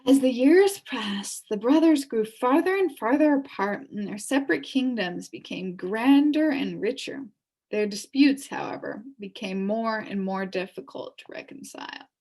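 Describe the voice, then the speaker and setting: A woman reading a children's story aloud as an expressive narrator. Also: a little high, a little slow. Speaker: storybook reader.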